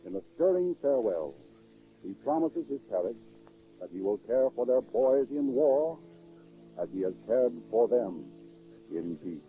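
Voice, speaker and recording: A man's voice: mid-range voice, very animated, a little slow, music in the background. A man announcing and narrating in scripted 1930s radio style, formal and declamatory. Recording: tinny 1930s radio recording.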